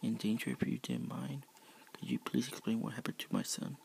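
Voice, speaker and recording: A man's voice: medium pitch, steady tone, brisk, vocal fry, darkish tone, a little hiss or hum. A man whispering the part of a courteous, concerned mother creature in a read-aloud story.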